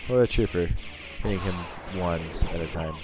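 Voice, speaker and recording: Young male let's-play host, bass-low voice, stuffy with a cold, rambling and wry. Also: moderate pace, over music. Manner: rambling, self-mocking, chatty. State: sniffling from a cold. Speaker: gaming channel host. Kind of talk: let's play commentary over gameplay.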